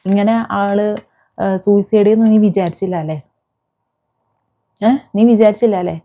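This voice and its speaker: A woman's voice: mid-range voice, warm tone, dull audio. A woman delivering an impassioned, confrontational vlog rant, scolding someone with repeated rhetorical questions. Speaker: vlogger. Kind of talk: impassioned rant addressed to an absent person.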